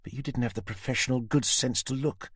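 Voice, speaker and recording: A man's voice: steady tone, a little fast, a bit bright, slightly rough, no echo, studio quality. A man voicing a deranged, taunting female kidnapper in an audiobook. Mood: contemptuous. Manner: menacing, mocking, shrill when angry.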